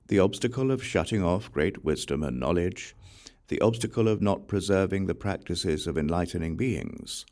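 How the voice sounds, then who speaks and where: A man reading Buddhist scripture as an audiobook narrator, in a measured, solemn recitation. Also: sits low, vocal fry, darkish tone. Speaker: audiobook reader.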